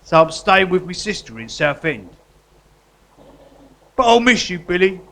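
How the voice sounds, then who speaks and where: An actor playing a matey, sentimental guerrilla artist convict in a live comedy radio drama. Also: male voice, high-pitched, big pitch swings, unhurried, vocal fry, a bit bright, heavily acted. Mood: wistful. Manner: matey, colloquial, earnest.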